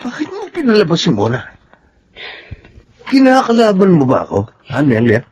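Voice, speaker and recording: Blustering Filipino drunkard dad. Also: male voice, high-pitched, huge pitch swings, darkish tone. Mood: menacing. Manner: bullying, blustering, quick to challenge. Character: hard-drinking, domineering father and husband, free with friends. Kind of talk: Filipino radio drama acting.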